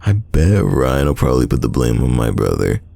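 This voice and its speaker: A man playing a secretly smitten, teasing young man in an intimate roleplay audio drama, deep and gravelly, talking casually. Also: sing-song, mellow, no room sound, studio quality, full character voice. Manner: casual, conversational, halting, teasing.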